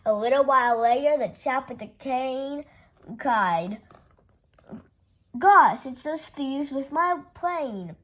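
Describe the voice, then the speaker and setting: Woman storyteller, fairly high voice, unhurried rhyming read-aloud for kids. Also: expressive. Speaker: storytime reader. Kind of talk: reading a rhyming picture book aloud.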